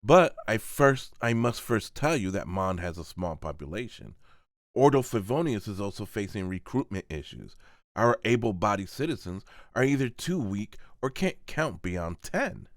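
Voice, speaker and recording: A man voicing a solemn, weary, principled seneschal in a fantasy manga dub, earnest and halting. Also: on the low side, big pitch swings, no echo, clean studio sound. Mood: firm objection. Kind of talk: dramatic manga voice-over.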